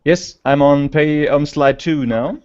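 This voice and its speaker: A man's voice: a little high, a crowd in the background. German-accented man on a video call. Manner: halting, many fillers and restarts. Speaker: conference speaker. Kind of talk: remote conference talk. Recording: dull lo-fi call audio.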